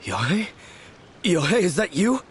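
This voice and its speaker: A man's voice: sits high, big pitch swings, a little slow, slight background noise. A man playing a meek, dazed and weakened young failed swordsman in an anime game, earnest and self-deprecating. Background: music.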